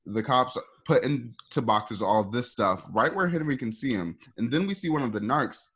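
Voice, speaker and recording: A man's voice: mid-range voice, expressive, normal speed, a bit bright, slightly rough. A man narrating screenplay action for a radio play in an informal, hesitant way, with conversational asides. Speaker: narrator reading stage directions.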